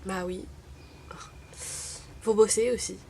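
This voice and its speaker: Young woman from morocco, arabic first language, dry wry vlog chat, bit of vocal fry, faint hiss behind. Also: medium pitch, fairly even intonation, slow, warm tone. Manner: wry, chatty, full of hesitations. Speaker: vlogger. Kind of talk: casual chat to camera.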